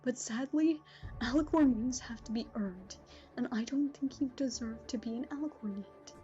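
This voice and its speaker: Woman playing motherly cartoon royal mare, mellow, fairly high, formal, slightly dull lo-fi recording. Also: moderate pace, soft background music, lightly acted. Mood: firm, regretful. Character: gentle, regal grown pony princess and teacher. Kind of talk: animated fan audio drama.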